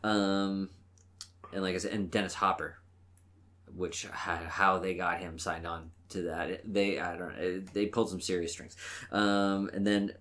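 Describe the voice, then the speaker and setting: A man co-hosting a casual movie podcast, offering facts in a halting way. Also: low-pitched, steady tone, a bit bright. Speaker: podcast co-host. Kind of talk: casual chat about movies.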